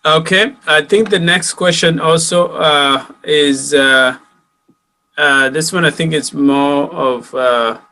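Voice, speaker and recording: Bright-voiced male moderator. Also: on the high side, slow. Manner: hesitant, many fillers. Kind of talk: reading audience questions.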